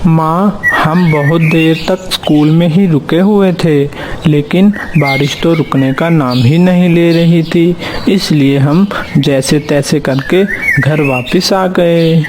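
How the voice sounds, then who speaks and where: Man as a slow-talking little schoolboy bird. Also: fairly high pitch, fairly even intonation, background noise. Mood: explaining. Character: polite, helpful young schoolboy bird. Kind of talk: children's cartoon voice-over.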